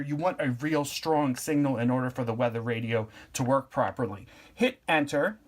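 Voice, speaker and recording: Plainspoken man explaining step by step. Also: a little high. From Pennsylvania. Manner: relaxed, plainspoken, step-by-step instructions. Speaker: product reviewer and tutorial host. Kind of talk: casual how-to demonstration.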